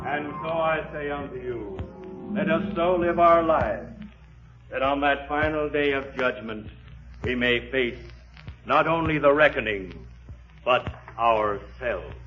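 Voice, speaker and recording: An actor playing a solemn, earnest preacher delivering a measured, oratorical sermon in a 1940s radio drama. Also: male voice, medium pitch, lightly acted. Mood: grave, exhorting. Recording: muffled 1940s radio recording, music behind.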